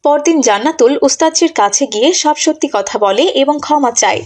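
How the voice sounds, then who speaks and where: A woman narrates a children's moral story from a script. The voice is somewhat high. She works as the storyteller between scenes. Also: animated, brisk, background noise.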